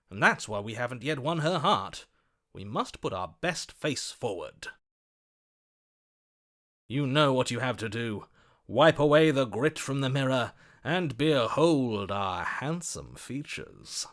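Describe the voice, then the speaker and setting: A gallant storybook romantic for a video game, hopelessly smitten and grandly theatrical. His pitch sits in the middle for a man, and he lingers unhurriedly over florid, swooning phrases, tender and melodramatic. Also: very animated, measured pace, a bit bright. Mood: grand, eager. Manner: florid, swooning, dramatic delivery.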